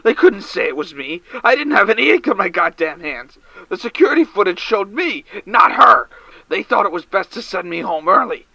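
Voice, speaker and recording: A man's voice: high voice, big pitch swings, normal speed, gravelly, dry recording, heavily acted, rough recording. An actor playing a distraught, drinking male caller on the edge of a breakdown, panicky and stammering, in an audio drama. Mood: agitated. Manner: frantic, panicky, shouting and stammering. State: drunk. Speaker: caller to a late-night radio show.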